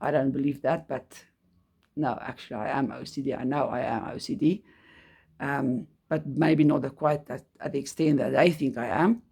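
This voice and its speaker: Middle-aged woman, South African accent, Afrikaans native, casual hobby chat, halting, full of ums. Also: rumbling low, vocal fry, warm tone, breathy edge. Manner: hesitant, many ums and restarts. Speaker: hobby vlogger.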